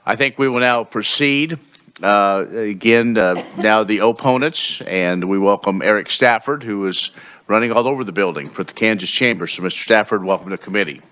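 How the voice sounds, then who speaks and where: A man chairing a legislative committee hearing. He runs the hearing in a relaxed, conversational way with some fillers. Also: lowish voice, moderate pace. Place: state legislature committee room.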